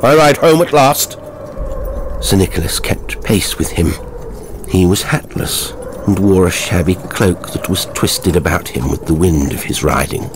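A man's voice: low-pitched, normal speed, background noise. A man narrating a horror story in a dramatic, scripted audiobook style.

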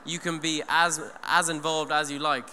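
Young guy talking on stage, earnest and rambly, bright edgy voice, echoing hall. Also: a little high, little pitch movement, normal speed. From Ireland. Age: young adult. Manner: earnest, rambling, many restarts and "you know". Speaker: speaker at an eco event. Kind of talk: talk on stage. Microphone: handheld mic. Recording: long hall reverb, faint noise.

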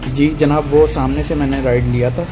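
A man's voice: medium pitch, flat delivery, moderate pace. A man giving casual motorbike vlog commentary, pointing out passing sights to viewers. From Pakistan. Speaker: motorbike vlogger. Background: music, vehicle sound.

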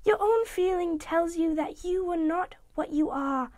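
A man reading an audiobook voices a gentle, sorrowful young woman who is releasing her betrothed, speaking softly and with resignation. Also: very high voice, no echo, pitched above the performer's own voice. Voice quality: soft, gentle. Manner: quiet, measured, resigned pleading.

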